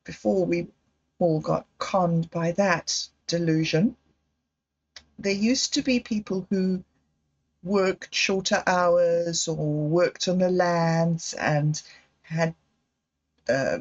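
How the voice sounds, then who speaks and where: A woman hosting a livestream, talking slowly and candidly in a meandering personal monologue. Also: fairly low pitch, fairly even intonation. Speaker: livestream host. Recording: dull lo-fi livestream audio.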